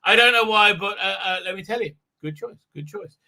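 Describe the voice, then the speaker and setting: A man with a somewhat high, very bright voice hosts a casual online stream. He rambles, stops and restarts, with plenty of "uh" and "right?". He talks into a computer mic on a video call. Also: a little fast. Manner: rambling, full of fillers and restarts. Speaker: livestream host. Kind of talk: casual chat.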